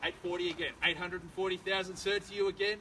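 An Australian man in his thirties working as an auctioneer, running a live property auction with brisk, persuasive sales patter on a suburban street. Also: high voice, steady tone. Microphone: far camera, no microphone. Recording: distant outdoor camera audio, loud street noise.

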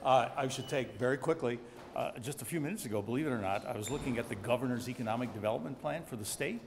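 A man's voice: medium pitch, fast, big-room sound. A middle-aged man speaking casually and off the cuff as a university official. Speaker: university provost, passing guest. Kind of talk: casual unscripted remarks. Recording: noisy ambient indoor background.

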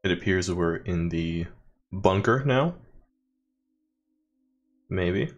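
A man's voice: deep voice, expressive, extremely slow. A man giving casual, rambling let's play commentary as a gaming host, with a wry, joking manner.

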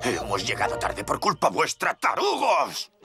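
A man's voice: mid-range voice, wildly swooping pitch, a little slow. A man voicing a bossy, greedy, short-tempered wasp gang leader in a children's cartoon, blustering and barking insulting orders. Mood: irritated. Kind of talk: dubbed children's cartoon acting. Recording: loud music under the voice.

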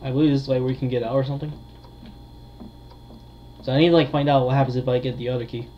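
A dull, lo-fi recording with faint noise carries a man commentating on a game he is playing. He talks casually and haltingly, pausing often as he goes. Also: mid-range voice, steady tone. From United States. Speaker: gamer, video creator.

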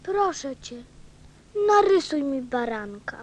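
A woman's voice: high voice, big pitch swings, takes it slowly, heavily acted. A woman playing a curious, insistent little boy prince in a radio play, speaking earnestly like a child.

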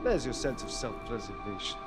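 An actor playing a cold, contemptuous, ruthless mercenary in a video game cutscene, sneering and callous. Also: male voice, fairly low pitch, sing-song, fairly quick. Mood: scornful. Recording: game music behind.

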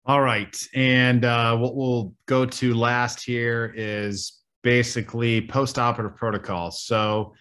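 A man's voice: medium pitch, little pitch movement, takes it slowly, hardly any pauses, fairly bright tone, clear, resonant tone. A man moderating a surgical panel over a video call, asking loose, filler-heavy questions. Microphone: video call webcam.

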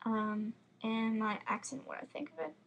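A woman's voice: on the high side, vocal fry, dull audio, a little hiss or hum. A teenage girl from Florida with an American accent and a slight lisp, chatting casually and rambling into her computer webcam at home. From Florida, United States. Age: teens. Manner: quick, chatty, rambling with many fillers. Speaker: fan vlogger. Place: home room with bookshelves, indoors. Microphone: built-in computer webcam mic.

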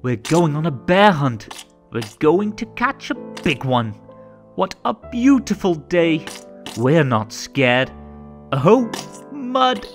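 Man, higher-pitched voice, rhythmic children's read-aloud, highly animated intonation, expressive storyteller. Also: slow pace. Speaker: storybook narrator. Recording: music under the voice. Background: music.

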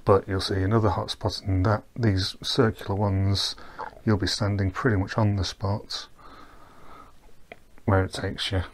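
A man with a low, level voice talks a viewer through a virtual tour in a loose, unscripted way. He wanders, pauses with ums and restarts sentences as he clicks from spot to spot. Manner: relaxed, rambling, with ums and restarts. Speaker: tour guide. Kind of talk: casual voice-over walkthrough of a virtual tour. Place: recorded while wearing VR goggles, not on the boat.

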